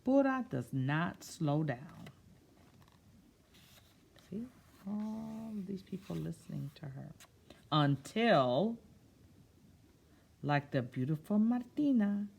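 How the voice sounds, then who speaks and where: Woman, children's read-aloud, very lively intonation, creaky edges, drawn-out phrasing, casual explanatory asides. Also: fairly low pitch. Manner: expressive, drawn-out reading alternating with casual explaining. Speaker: children's storyteller.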